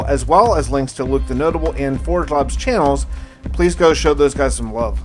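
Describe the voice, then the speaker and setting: Higher-pitched man narrating over loud music. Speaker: gaming video host narrating a role-play survival series. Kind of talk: scripted first-person survival story narration.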